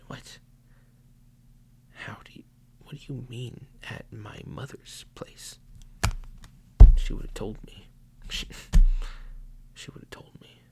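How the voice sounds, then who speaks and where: A man whispering a playful mock police interrogation as an ASMR roleplay, slow and teasingly accusing. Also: medium pitch, steady tone, vocal fry, sharp tone.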